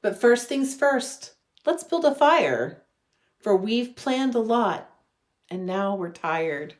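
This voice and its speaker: A woman in her thirties reading a children's storybook aloud with gentle, deliberate phrasing. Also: a little high, sing-song. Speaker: religious education director reading a children's story. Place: living room at home.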